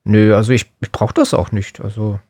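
A man's voice: on the low side, steady tone, moderate pace, darkish tone, no room sound. Casual man with a dry, close sound. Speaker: podcast co-host. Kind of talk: casual joking chat.

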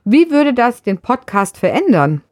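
A woman's voice: on the high side, sing-song, unhurried, a little mellow, no echo, studio quality. A woman reading out scripted questions to podcast hosts. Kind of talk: scripted questions read aloud.

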